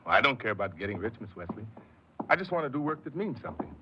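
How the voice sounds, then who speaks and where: An actor playing an earnest, insecure paroled ex-convict who tells his story haltingly in a 1950s radio drama. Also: mid-range voice, big pitch swings, close to an everyday voice. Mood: humbly grateful. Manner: hesitant, halting, repeats words. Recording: noisy muffled 1950s radio recording.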